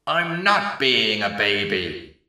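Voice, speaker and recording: A man's voice: fairly low pitch, very animated, slow, bright, forceful tone, distant mic, exaggerated voice. A man voicing a proud, boastful male giant, recorded in an echoey room away from the mic. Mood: sulky. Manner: boastful, gravelly.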